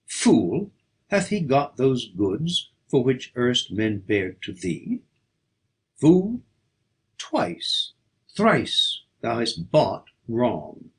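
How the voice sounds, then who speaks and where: A man with a markedly rough, breathy-husky voice recites poetry for an audiobook. His delivery is slow and declamatory, swinging widely in pitch around a middling register. He reads with theatrical emphasis. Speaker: audiobook reader.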